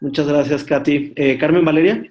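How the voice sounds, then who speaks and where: A man leading an online workshop on a video call, briskly calling on each participant in turn. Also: on the high side, fairly even intonation, a little mellow. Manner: brisk, calls on participants one by one. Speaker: workshop instructor.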